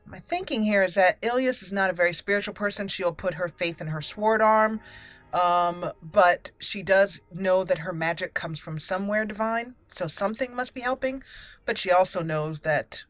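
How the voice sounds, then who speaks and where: A woman's voice: mid-range voice, moderate pace, fairly bright tone, no echo. A woman hosting a gaming channel, giving roleplay commentary and musing aloud about the story. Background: game music.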